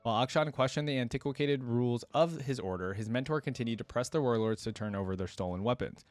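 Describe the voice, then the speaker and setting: A man's voice: mid-range voice, fast talker, no room sound. A man hosting a reaction video, reading a story aloud and commenting casually as he goes.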